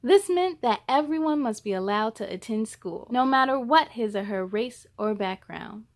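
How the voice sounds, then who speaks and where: A woman reading a children's picture book aloud as a story-time host, with a deliberate, expressive storytelling delivery. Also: on the high side.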